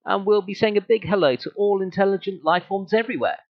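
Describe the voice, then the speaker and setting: A man's voice: sits high, expressive, quick pace, lo-fi sound. A man playing a brash, upbeat galactic radio newsreader in an amateur audio drama, with punchy announcer patter. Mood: upbeat, showy. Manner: showy, punchy announcer delivery.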